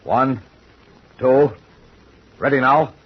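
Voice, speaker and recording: An actor playing a brusque, commanding middle-aged ship's captain in a 1940s radio drama. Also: male voice, mid-range voice, extremely slow. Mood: tense. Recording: dull noisy old radio recording.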